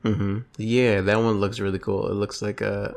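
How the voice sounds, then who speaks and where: A man's voice: lowish voice, little pitch movement, moderate pace, creaky. A man talking casually as a co-host, laid-back and joking, reading entries aloud between remarks. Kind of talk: casual chat.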